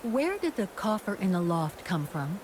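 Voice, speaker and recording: An actress playing a polite, inquisitive woman investigator in a video game, measured and considerate. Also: mid-range voice, very animated, background noise, close to an everyday voice.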